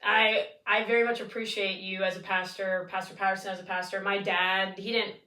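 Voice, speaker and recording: A woman's voice: mid-range voice, level tone, fairly quick, pushed and bright. Young woman hosting a podcast, chatty and upbeat, sounds a bit far from the mic in an echoey room. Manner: chatty, upbeat, laughs often. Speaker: podcast host. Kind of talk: casual podcast chat. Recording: roomy echo, mic at a distance.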